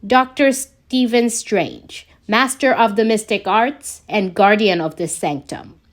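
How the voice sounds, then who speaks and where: A woman's voice: medium pitch, slow pace, close to an everyday voice. A woman voicing a snide, curt and formal male sorcerer in a fan fiction story. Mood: pompous. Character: haughty, curt adult male sorcerer, guardian of sanctum.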